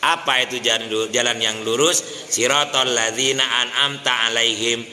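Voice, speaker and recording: A man preaching a sermon, questioning his listeners and chanting verses slowly. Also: mid-range voice, fairly even intonation, bright, forceful tone. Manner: addresses listeners as Pak, asks the crowd questions. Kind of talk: mosque sermon. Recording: hall reverb, faint noise.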